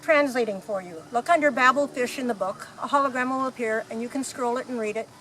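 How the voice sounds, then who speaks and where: A woman acts a wry, knowing space traveller in a radio play. The voice sits high, brisk in manner, on a recording with clear background noise. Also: fairly bright tone, close to an everyday voice. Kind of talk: amateur radio play dialogue. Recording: clearly noisy amateur recording.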